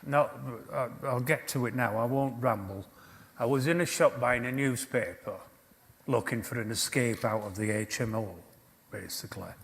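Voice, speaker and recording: A hoarse man in his fifties, not from Yorkshire, telling his story at a public event in a joking, self-deprecating way. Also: mid-range voice, normal speed, fairly bright tone, big-room sound. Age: 50s. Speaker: panel speaker.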